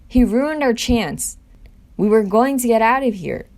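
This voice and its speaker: A woman's voice: fairly high pitch, lively intonation, measured pace, a little mellow, pitched above the performer's own voice. Woman reading an angry, bitter teenage boy. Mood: heated, accusing. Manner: accusing, insistent. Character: Henry, angry bitter sixteen-year-old orphan boy. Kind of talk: scripted scene table read.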